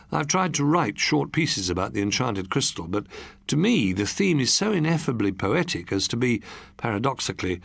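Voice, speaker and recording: Casting: man, audiobook narrator for literary first-person fiction, measured yet animated intonation, dry studio-style sound. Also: medium pitch, gravelly, dry recording. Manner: measured, expressive, literary delivery.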